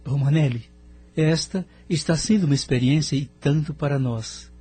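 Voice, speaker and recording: A man acting an earnest, polite spirit apprentice with a slightly high, husky voice. He speaks formally, as in a radio drama, with faint music behind. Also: moderate pace, dark tone. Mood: friendly. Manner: formal, deferential. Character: courteous, earnest male spirit student.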